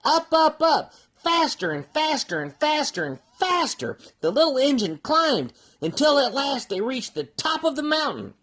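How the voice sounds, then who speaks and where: A woman reads a children's story aloud. Her delivery is unhurried and highly expressive, with pitch moving widely and important words drawn out for emphasis. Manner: slow, animated, drawn-out emphasis. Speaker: storybook reader.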